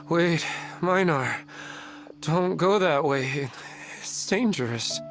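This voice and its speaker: An actor playing an earnest, dreamy husband in a video game, with a bewildered manner. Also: male voice, a little high, lively intonation, extremely slow, fairly bright tone, full character voice. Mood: alarmed. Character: dreamy, earnest husband longing for his wife. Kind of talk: video game character acting. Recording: background music under dialogue.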